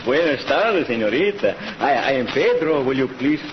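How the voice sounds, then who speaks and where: An actor playing a jolly, teasing Mexican ranch hand who speaks courtly broken English with a Spanish accent in an old radio western. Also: male voice, medium pitch, lively intonation, normal speed, lightly acted. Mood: mock courteous. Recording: muffled, noisy old radio recording.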